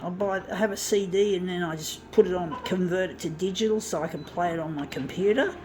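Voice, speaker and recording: A woman's voice: lowish voice, fairly quick, noisy background. A middle-aged woman reminiscing casually about mixtapes and zine culture, rambling with fillers and restarts. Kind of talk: casual reminiscing while working.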